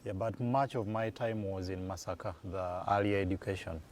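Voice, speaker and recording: A Ugandan man in his forties, answering interview questions in an unhurried, thoughtful way as he recalls dates and places. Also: deep voice, steady tone, darkish tone. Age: 40s. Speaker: guest. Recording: noisy room ambience.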